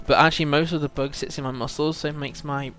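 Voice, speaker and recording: A man's voice: a little high, steady tone, fast, fairly bright tone. A man chatting casually as he hosts a game livestream, rambling and thinking aloud to his chat while a bit under the weather with a cold. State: feels full of cold, often clearing throat.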